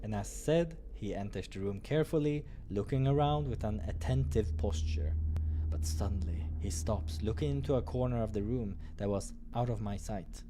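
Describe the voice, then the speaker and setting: A man's voice: on the low side, lively intonation, moderate pace, mellow, slight background noise. A man reading visual novel narration aloud as the narrator of a playthrough.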